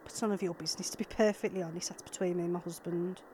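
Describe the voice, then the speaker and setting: A woman acting an irritable, sharp-tongued, sarcastic middle-aged mother and ex-wife in an audio drama. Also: on the low side, steady tone, very fast, a little hiss or hum, lightly acted. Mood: curt.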